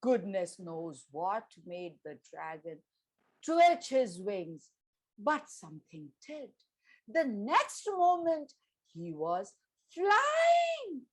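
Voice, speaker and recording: A woman telling a children's story as host, with expressive narration, dramatic pauses and emphatic word stress. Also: mid-range voice, slightly rough, low-quality recording.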